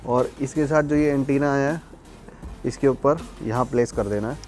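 A young man speaks at a medium pitch, explaining things casually as a vlog reviewer. He drops English words into his conversational talk. Faint music plays behind him. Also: moderate pace, darkish tone. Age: young adult. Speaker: product reviewer and vlogger. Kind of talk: casual product review to camera. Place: outdoors, terrace and courtyard.

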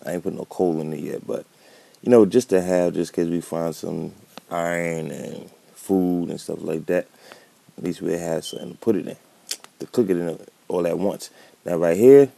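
A man's voice: deep voice, gravelly, mellow, no room sound. A man giving laid-back commentary while playing a building game, thinking aloud as he works, with a slight cold. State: catching a cold. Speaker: gameplay commentator. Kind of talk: casual gameplay commentary.

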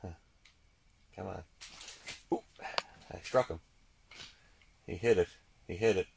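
A man giving casual running commentary as he fishes, muttering and repeating himself in a husky, gravelly voice. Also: low voice, fairly even intonation, slow pace, a little mellow, a little hiss or hum. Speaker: ice angler demonstrating a fish finder. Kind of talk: excited casual running commentary while fishing.

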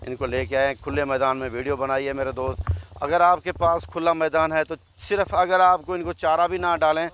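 A man's voice: a little high, level tone, moderate pace, fairly bright tone, slight background noise. Chatty salesman-like man from Pakistan. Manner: chatty salesman, repeatedly addressing friends. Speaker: goat farm owner and seller. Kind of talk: casual sales walkthrough vlog. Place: open harvested field outdoors.

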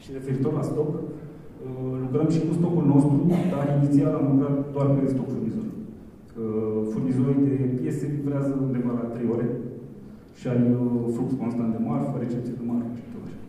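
A heavily reverberant hall recording of a man presenting. His voice is very dark and mellow, of medium pitch, and he pauses hesitantly as he explains. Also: little pitch movement. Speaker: conference speaker from an online store. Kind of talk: presentation and audience answers. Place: large hotel ballroom. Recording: very echoey hall from far off, faint noise.

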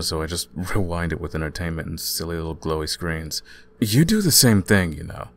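A young man playing an easygoing, caring student roommate in an intimate ASMR audio roleplay, talking casually with gentle teasing. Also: low-pitched. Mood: relaxed, teasing. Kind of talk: intimate ASMR boyfriend-style roleplay, conversational acting.

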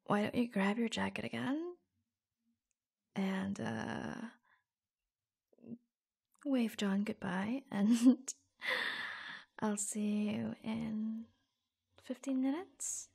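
A woman playing a flirtatious, teasing office lover in an intimate ASMR roleplay, breathy and husky, often dropping to a whisper. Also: fairly high pitch, mellow, exaggerated voice. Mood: playful, teasing. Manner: slow, coy, teasing, giggly. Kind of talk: ASMR roleplay, intimate romantic audio drama.

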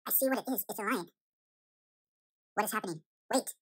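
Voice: mid-range voice, a little fast, slightly rough, lightly acted. A performer voicing a panicky, bewildered young chipmunk boy in a cartoon fan story, rambling and repeating themselves in an anxious, dramatic way. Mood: despairing.

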